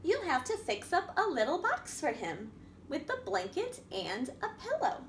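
A woman with a very high, swooping voice reads a picture book to young children. She takes her time, in the sing-song manner of a library storytime host. The room around her is echoey. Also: wildly swooping pitch, measured pace. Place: indoor room at a wooden table by a window. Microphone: camera across the table. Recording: echoey room with background noise.

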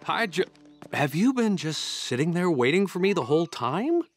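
An actor voicing a kind, patient adult man in a children's cartoon, explaining things gently. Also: on the high side, very animated, moderate pace, music in the background.

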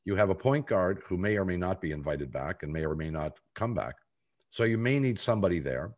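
A man in his sixties hosting a sports talk show, speaking in a deliberate, halting way over a video call. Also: low voice, expressive. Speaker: podcast host. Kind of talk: sports talk, moderating a panel. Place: home office, video call.

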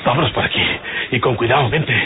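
An actor playing a wary, cunning bandit with a rural northern Mexican accent in an old radio drama, giving terse, urgent instructions. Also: male voice, medium pitch, fast talker, very noisy, natural voice. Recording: tinny old radio recording with loud music.

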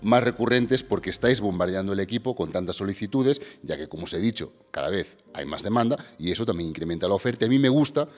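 Upbeat male presenter, lowish voice, reverberant gym hall. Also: quick pace, few pauses. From Galicia. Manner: energetic, direct address to viewers. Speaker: host. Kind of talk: presenter pitch and interview. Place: large gym hall with a boxing ring. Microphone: handheld mic. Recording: reverberant hall with background music.

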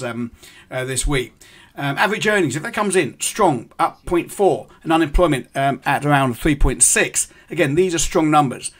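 A middle-aged man gives live commentary on currency markets to his stream viewers. He explains things step by step, pausing often on ums and starting sentences over. He sounds like he is in a small indoor room, speaking into a desk microphone. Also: medium pitch, a bit bright. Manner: explanatory, halting with many ums and restarts. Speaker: forex trading host.

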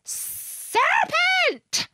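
A woman's voice: high voice, wildly swooping pitch, slow pace, a bit bright, no room sound, pitched above the performer's own voice, full character voice. A woman voicing an agitated, suspicious female pigeon from a storybook, indignant and full of complaint. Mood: shrieking alarm. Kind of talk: storybook character reading.

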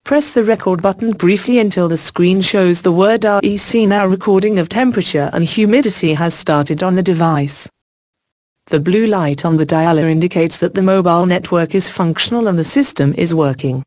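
A narrator reading a scripted product installation guide in a formal, deliberate, step-by-step way. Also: mid-range voice, darkish tone, dry recording, studio quality.

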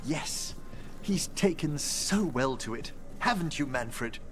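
An actor voicing a gentle, wistful older necromancer scholar in a video game, speaking formally and courteously. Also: male voice, a little high, animated, takes it slowly, over music. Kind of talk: video game dialogue acting.

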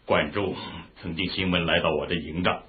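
A man's voice: sits low, fairly even intonation, normal speed, close to an everyday voice. An actor playing a remorseful male general who contritely takes the blame in a radio drama. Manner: contrite, self-blaming. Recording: tinny phone-like audio, faint noise.

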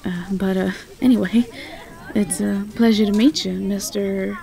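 A woman acts out a young Southern belle who runs a farm in an audio roleplay. Her voice is relaxed and mellow with some fry in it. She talks in a folksy, sweet and quick-witted way. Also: medium pitch. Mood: friendly. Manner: warm, folksy, teasing.

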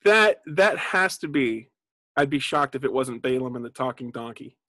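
A man's voice: mid-range voice, animated, normal speed, bright, forceful tone, no echo, clean studio sound. A man in his thirties co-hosting a podcast with casual, playful chat from home. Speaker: podcast co-host. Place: home basement room.

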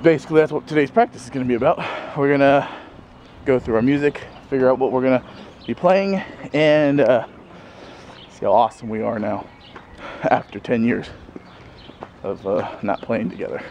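A man's voice: medium pitch, normal speed, a little mellow, lo-fi sound. A man in his thirties casually rambling to a handheld camera in a sunny backyard, with lots of fillers and chuckles. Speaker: vlogger. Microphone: handheld camera at arm's length. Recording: faint outdoor background noise.

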